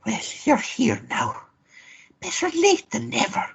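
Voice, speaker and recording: An Irish woman playing a lusty, teasing lover in a radio play, with a breathy, flirtatious drawl. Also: a little high, wildly swooping pitch, unhurried, highs cut off, exaggerated voice. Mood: coy, reproachful.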